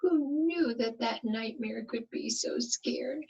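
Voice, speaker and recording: A woman reading a picture book aloud to young children like a teacher, expressive, often asking the listeners questions. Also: on the high side, slow, vocal fry, husky, highs cut off. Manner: asks the listeners questions, dramatic emphasis.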